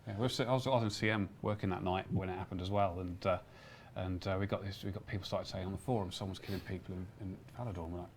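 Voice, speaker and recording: Fast-talking low-voiced man. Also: steady tone, slight background noise. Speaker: game developer. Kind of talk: casual chat, recounting work memories. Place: live stream room in an office.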